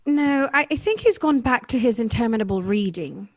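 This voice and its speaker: A troubled, tender-hearted wife acted by a woman in a radio drama. The voice is high and dry, with an earnest, formal way of questioning and appealing. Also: animated, moderate pace, no echo. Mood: worried.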